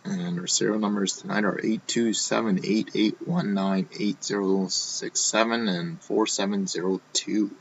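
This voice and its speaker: A man hosting a live card box break, talking casually while he opens packs, his voice going hoarse. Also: on the low side, fairly even intonation, moderate pace, hardly any pauses, dull audio, slight background noise. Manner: casual, running commentary while opening packs. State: losing his voice.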